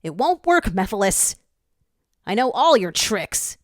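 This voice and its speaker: A woman's voice: medium pitch, big pitch swings, unhurried, dry recording, studio quality, voice pushed up. A woman voicing an earnest, helpful young male hedgehog hero in a fan fiction story, speaking in a reassuring manner. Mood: defiant.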